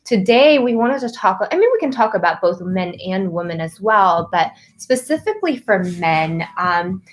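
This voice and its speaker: A woman's voice: mid-range voice, very animated, normal speed. A woman from Korea hosting a casual livestream chat, upbeat and gushing, talking straight to viewers. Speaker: host, performance coach. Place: café in Seoul.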